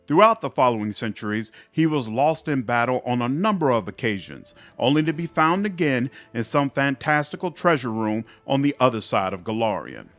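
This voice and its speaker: A man narrating fantasy game epilogues in a measured, expressive storybook style. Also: medium pitch, natural voice. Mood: solemn storytelling. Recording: music under the voice.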